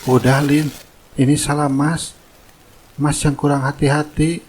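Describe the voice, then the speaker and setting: A man playing a meek, soft-hearted labourer and husband in a cartoon drama. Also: a little high, flat delivery, unhurried, slight background noise, lightly acted, poor audio. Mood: apologetic, worried.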